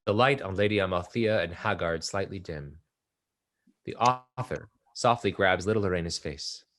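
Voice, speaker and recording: A man's voice: low-pitched, normal speed, fairly bright tone, a little hiss or hum. A man narrating the stage directions of a play from a script.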